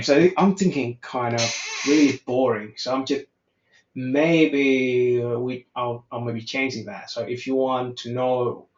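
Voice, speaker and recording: Man, Vietnamese accent mixed with American and Aussie, casual frank chat, echoey room, dull audio. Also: medium pitch. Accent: Vietnamese, mixed American and Australian. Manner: frank and loose, swears casually, thinks aloud with restarts. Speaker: English conversation tutor.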